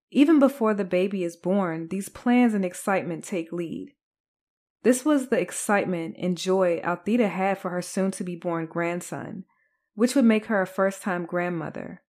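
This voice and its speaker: A woman's voice: lowish voice, darkish tone. A woman podcast host delivering scripted true crime narration.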